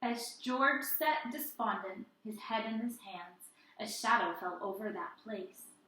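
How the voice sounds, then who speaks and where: A woman teacher reading a story aloud and chatting to young children in an expressive way. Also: medium pitch, takes it slowly. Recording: roomy indoor echo.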